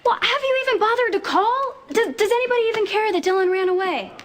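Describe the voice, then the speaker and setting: An actress playing an emotional, grieving young heiress in a soap opera, earnest and halting. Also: female voice, very high-pitched. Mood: upset, indignant. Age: young adult. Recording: loud music behind dialogue. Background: music.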